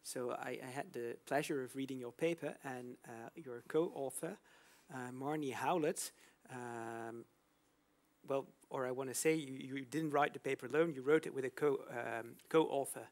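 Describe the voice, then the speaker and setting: A man's voice: medium pitch, moderate pace. A man moderating an academic discussion, asking long questions with many fillers and restarts.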